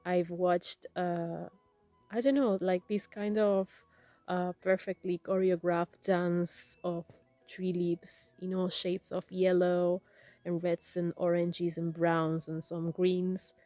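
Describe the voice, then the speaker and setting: A woman with a Spanish accent and a mellow, warm voice talks through a craft tutorial gently and haltingly. She pauses often on small fillers. The sound is dry and close, with soft music under it. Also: lowish voice, little pitch movement, dry recording. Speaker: weaving tutorial host. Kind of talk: casual personal talk and craft tutorial.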